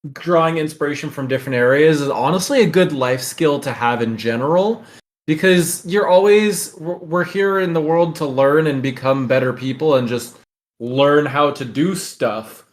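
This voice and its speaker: A man's voice: a little high, moderate pace. A man chatting casually as a podcast host, in an agreeable, supportive manner.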